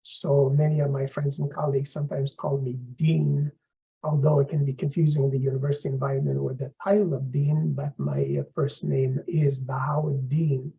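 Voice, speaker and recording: A middle-aged man from Afghanistan, a professor introducing his course in a measured, explanatory lecturing manner. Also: on the high side, gravelly, mellow, rough recording.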